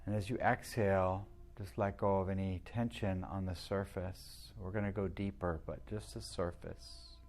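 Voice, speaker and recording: A man with a fairly low voice leads a guided meditation. He speaks soothingly and without hurry, leaving long pauses between his instructions like a practised teacher. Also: steady tone, darkish tone, a little hiss or hum.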